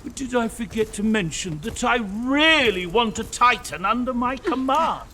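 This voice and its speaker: An actor playing a theatrical, smug villainous lord in a video game, mock-polite and pompous in a drawling delivery. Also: male voice, extremely high pitch, expressive, normal speed, bright, forceful tone, slight background noise, heavily acted. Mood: gloating, menacing. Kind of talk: video game cutscene acting.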